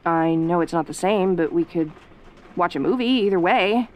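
A woman playing a sassy, easily flustered young tomboy girlfriend, sarcastic and haltingly defensive, in an audio roleplay. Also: on the low side, darkish tone, natural voice, rough recording. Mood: sheepish, wry.

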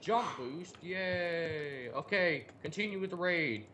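A man's voice: fairly high pitch, lively intonation, takes it slowly, pushed and bright. Young man gaming, noisy recording. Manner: crude, profane, joking. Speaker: gamer in co-op let's play. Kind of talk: casual gaming chat with a friend.

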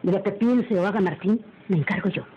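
A woman's voice: mid-range voice, brisk, natural voice. Actress as haughty lady of the house, muffled recording. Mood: commanding. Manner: imperious, clipped, commanding. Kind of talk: old Latin American radio drama acting. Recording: muffled old radio serial, background noise.

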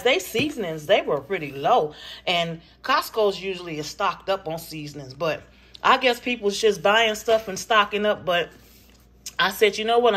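A woman's voice: medium pitch, a bit bright. A woman vlogger, chatting casually with her viewers about what she bought, recorded on a handheld phone in her home kitchen. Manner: chatty, addresses viewers as "you guys" and "y'all". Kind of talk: casual grocery haul chat. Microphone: phone camera held in hand.

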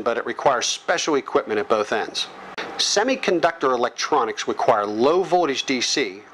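Middle-aged man, American accent, instructor, varied intonation, measured phrasing, faint hum behind the voice. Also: mid-range voice, sharp tone, poor audio. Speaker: electrical training instructor. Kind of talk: instructional lecture read from slides. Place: small office or classroom with whiteboard, indoors. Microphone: lapel microphone.

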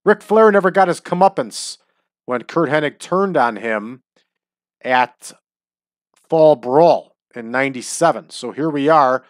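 A man's voice: fairly high pitch, expressive, a little slow, no room sound, clean studio sound. A man commenting casually on a wrestling management game at home, chatty and opinionated, his nose stuffed up from allergies. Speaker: let's-play host.

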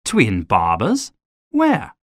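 Cartoon folktale: good-natured middle-aged village gent, hearty, very animated pitch, dry recording. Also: male voice, extremely slow, dry recording, exaggerated voice. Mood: curious, puzzled. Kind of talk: animated children's story acting.

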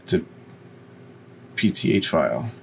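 A man with a deep voice giving an unscripted, step-by-step software tutorial. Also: sing-song, measured pace, gravelly, a little hiss or hum. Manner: instructional, step by step. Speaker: tutorial presenter.

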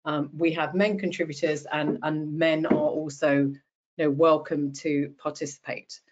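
A woman's voice: sits low, little pitch movement, a little slow. A woman hosting and moderating an online panel, speaking with small hesitations and repeated words. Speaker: host. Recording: dull lo-fi video call audio.

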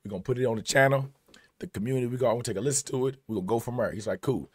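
A man's voice: medium pitch, rapid-fire, husky, dry recording. A man in his thirties with a Kentucky accent, losing his voice, giving a laid-back reaction to a song from a small home studio. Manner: laid-back, slangy, many "you know what I'm saying". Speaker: music reaction host. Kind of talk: casual reaction commentary.

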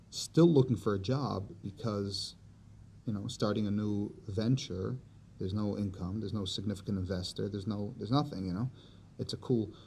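Mellow-voiced man telling his story. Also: lowish voice, creaky, a little hiss or hum. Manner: conversational, tag questions like "right?". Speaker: podcast host. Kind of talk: personal storytelling monologue.

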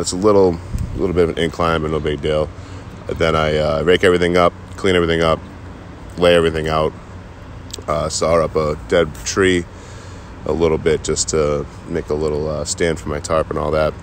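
A man in his thirties giving a relaxed, rambling voice-over about setting up his camp, with many fillers. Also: rumbling low, a little fast, a little hiss or hum. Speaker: camping vlogger. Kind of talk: casual voice-over narration.

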